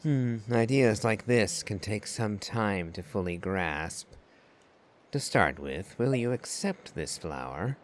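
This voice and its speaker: A man doing casual playthrough commentary and reading along, in a musing, unhurried way. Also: lowish voice, animated, slight background noise.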